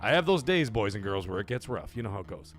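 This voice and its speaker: A man hosting a casual livestream, loudly thanking chat supporters between serious talk. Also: fairly low pitch, big pitch swings, fast talker, soft background music. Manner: hyped, shouts out chat supporters. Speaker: livestream host.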